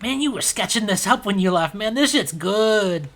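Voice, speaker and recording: A woman voicing an excitable, nervous, friendly young aquatic man in a tabletop game. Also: mid-range voice, moderate pace, pitched above the performer's own voice. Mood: excited, gushing. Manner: eager, gushing.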